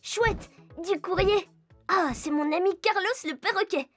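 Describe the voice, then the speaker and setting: A woman's voice: extremely high pitch, a little slow. A woman playing a cheerful, curious little girl in a children's cartoon, speaking in a friendly way straight to young viewers. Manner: bright, friendly, addresses young viewers directly. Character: Jasmin, cheerful curious little girl who travels to animals. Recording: music behind the voice.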